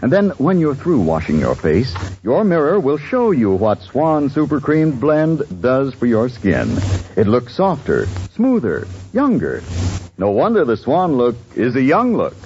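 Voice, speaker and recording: Male 1940s radio announcer, scripted pitch, lively inflection, lo-fi broadcast sound. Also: measured pace. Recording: dull old radio broadcast, music.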